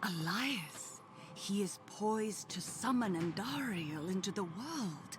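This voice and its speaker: A scheming woman cultist in a video game, silky and theatrical. Her voice moves slowly and swoops widely in pitch, over loud ambient noise. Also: expressive. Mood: gloating reverence. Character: sinister, scheming female cultist, devoted and coolly gloating. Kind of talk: dramatic video game dialogue.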